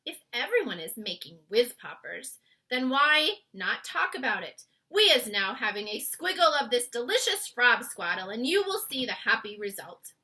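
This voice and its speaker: A woman voicing a big, friendly old giant from a storybook, emphatic and booming, drawing out his muddled words. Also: sing-song, moderate pace, sharp tone, full character voice, low-quality recording. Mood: proud, insistent.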